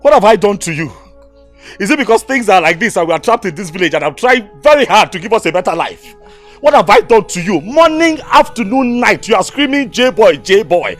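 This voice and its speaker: A man's voice: high voice, expressive, fast, a bit bright, soft background music. A man playing a frustrated village husband who complains in exasperation. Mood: exasperated.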